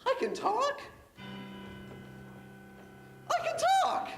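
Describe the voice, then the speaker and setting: A woman's voice: very animated, a little slow, gravelly, heavy reverb, far from the mic, over loud music, exaggerated voice. A woman playing an innocent, talkative young male piglet who sings eagerly in a stage musical. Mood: gleeful singing. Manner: eager, sings in bursts, jumps high. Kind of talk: stage musical singing and acting.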